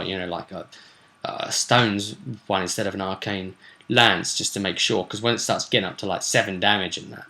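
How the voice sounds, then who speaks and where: Bright-voiced man, chatty game recap. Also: on the low side. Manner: chatty, rambling, explanatory. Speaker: game master recapping his tabletop campaign. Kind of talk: casual vlog recap of a role-playing session.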